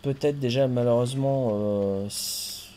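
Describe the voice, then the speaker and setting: A man's voice: mid-range voice, unhurried, mellow, slight background noise. A man from France chatting casually with a friend while playing a farming game, chatty and jokey with playful swearing. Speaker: streamer and host.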